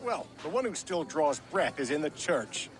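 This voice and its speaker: A man's voice: a little high, sing-song, normal speed. A man playing a drunken, bitter and boisterous glassmaker in a video game, rambling and theatrical. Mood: bitter grumbling. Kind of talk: video game character dialogue. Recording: music behind the voice.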